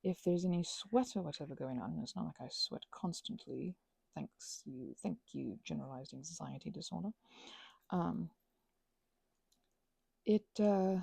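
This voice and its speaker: A woman chatting casually in a rambling ASMR craft style, with playful, animated asides and frequent self-interruptions. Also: sits low, measured pace, gravelly, long pauses, slightly rough, no echo. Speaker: ASMR and audio creator.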